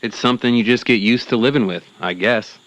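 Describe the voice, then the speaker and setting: A man's voice: mid-range voice, a little fast, fairly bright tone, dry recording, lightly acted, poor audio. A man playing a self-important young cryptid-hunting believer in a comedic audio drama, earnest and easily offended. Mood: grave, wounded. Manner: petulant, earnest, melodramatic. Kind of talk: comedic audio drama acting as interview guest.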